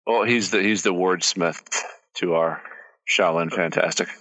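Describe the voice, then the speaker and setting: Low voiced guy on a podcast, dry close sound, blunt and a bit sweary. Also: a little slow, fairly bright tone, no room sound, rough recording. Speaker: podcast co-host. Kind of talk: casual banter.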